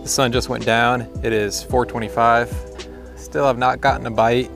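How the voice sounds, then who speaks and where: A man's voice: mid-range voice, level tone, normal speed, gravelly, music in the background. A young American man chatting casually and informally to camera as a fishing vlogger outdoors. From United States. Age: young adult. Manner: easygoing, informal. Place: outdoors by a pond, windy.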